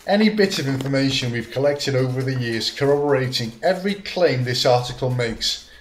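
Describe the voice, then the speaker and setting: A sardonic senior official played by a man hands out orders with dry scorn. His voice sits at a middling pitch and rings in a roomy, echoing space. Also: animated, brisk, natural voice. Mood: commanding. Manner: commanding, sardonic. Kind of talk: radio comedy acting. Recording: roomy echo.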